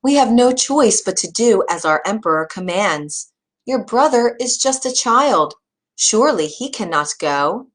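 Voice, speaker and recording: A woman's voice: medium pitch, animated, unhurried, voice pushed up. A woman voicing a weary, loving old father in a storybook, sighing and resigned as he speaks.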